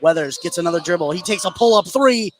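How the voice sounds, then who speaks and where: Higher-pitched man calling basketball over gym noise. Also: quick pace. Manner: excitable on big plays, short clipped phrases. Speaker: play-by-play radio announcer. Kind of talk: live basketball play-by-play commentary. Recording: clear gym noise behind the voice. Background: basketball game ambience, bouncing balls.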